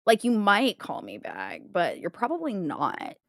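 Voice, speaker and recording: A woman's voice: mid-range voice, wildly swooping pitch, measured pace, gravelly, dry recording, studio quality, heavily acted. A woman voicing a ditzy, bubbly young female vampire with a valley-girl manner and constant "like" in a tabletop roleplay. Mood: confused. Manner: filler 'like' heavy, exclamatory.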